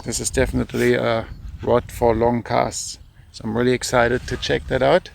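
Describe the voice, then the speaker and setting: A man's voice: medium pitch, flat delivery, moderate pace, creaky, a little hiss or hum. A man in his thirties from Germany with a German accent, casually reviewing gear to camera as a channel host in an informal, conversational way. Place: outdoors on an open beach by the water.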